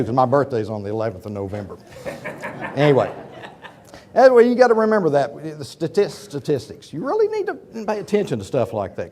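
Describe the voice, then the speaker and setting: A man's voice: medium pitch, background noise. A man in his fifties from Oklahoma, losing his voice to a cold, giving a rambling, conversational lecture in a university auditorium with frequent asides to the audience. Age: 50s. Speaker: guest lecturer, businessman. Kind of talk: folksy public lecture.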